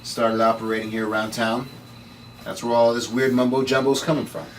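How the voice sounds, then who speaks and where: A man's voice: medium pitch, flat delivery, brisk, close to an everyday voice. A man playing a cool, mysterious, matter-of-fact supernatural guardian in a table-read drama. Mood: cool, explanatory. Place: room at a script table read. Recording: distant mic, faint background noise.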